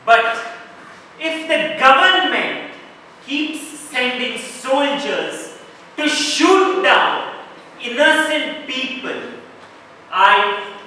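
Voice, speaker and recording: A man playing an aggrieved, outspoken church delegate in an amateur stage play, speaking bluntly and formally with halting emphasis. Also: very high-pitched, expressive, bright, forceful tone, exaggerated voice. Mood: defiant. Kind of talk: declamatory amateur stage acting. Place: large echoing hall, stage performance. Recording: echoey hall from far off, background noise.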